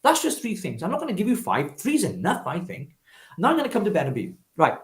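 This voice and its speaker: A man's voice: high voice, huge pitch swings, fast, reverberant. A Welsh man speaking off the cuff as a political interview guest, emphatic and oratorical, leaning on repetition and rhetorical questions. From Wales. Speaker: political activist guest, former parliamentary candidate. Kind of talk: spontaneous political interview talk.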